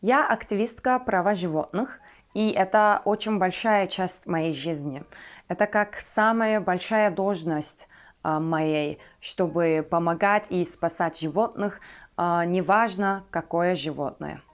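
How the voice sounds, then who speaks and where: A woman in her twenties from Turkey, a native Turkish speaker with a Turkish accent, talking to viewers about herself as a vlogger in careful, slightly halting phrases. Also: on the low side. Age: 20s.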